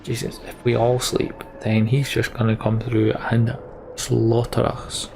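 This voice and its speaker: Unhurried, gravelly-voiced man reading game story. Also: mid-range voice, completely flat delivery, noisy background. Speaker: let's player voicing a game's text. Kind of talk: gameplay commentary and dramatic read-aloud.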